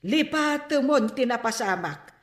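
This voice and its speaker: A woman's voice: a little high, expressive, measured pace. An actress playing a patient, reproachful mother of a grown daughter, chiding and pleading in a radio drama. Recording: roomy, echoing drama recording.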